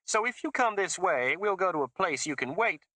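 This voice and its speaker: Brief: male narrator doing a high, cooing female politician, fake Southern drawl, sugary and insincere. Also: lively intonation, normal speed, sharp tone, no room sound. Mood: coaxing.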